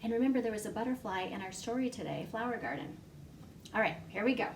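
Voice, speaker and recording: A woman librarian reading a picture story aloud to young children from her home, animated and full of gasps. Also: a little high, quick pace, distant mic, a little hiss or hum. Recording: echoey room, voice off-mic.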